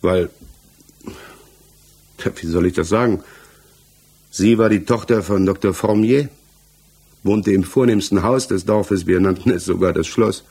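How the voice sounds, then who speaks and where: An actor in a 1960s German radio play, playing an accused married village mechanic who answers earnestly, evasively and haltingly. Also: male voice, sits low, darkish tone, slightly rough, exaggerated voice. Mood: hesitant, explaining. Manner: hesitant, halting, defensive.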